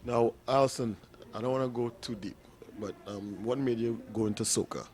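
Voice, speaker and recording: A man's voice: mid-range voice, steady tone, moderate pace, darkish tone. A man hosting an interview show, asking enthusiastic, rambling questions full of fillers. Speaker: interview host.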